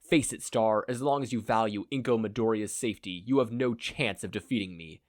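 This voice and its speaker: A man's voice: fairly low pitch, a little fast, no echo, studio quality, natural voice. A man playing a grandiose, self-deluded male supervillain with a pompous, melodramatic, wordy delivery in a fan fiction reading. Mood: threatening.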